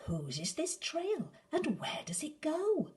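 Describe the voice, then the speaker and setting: A woman voicing a bold, curious young female gruffalo child with high, excited exclamations in a storybook reading. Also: slow pace, heavily acted.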